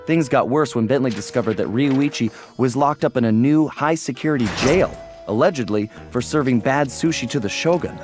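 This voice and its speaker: A man voicing a cocky, easygoing young raccoon thief, telling his story wryly in an animated video game. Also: medium pitch, moderate pace, hardly any pauses, close to an everyday voice. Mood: dramatic, wry. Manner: wry, smooth storytelling. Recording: clear music bed behind.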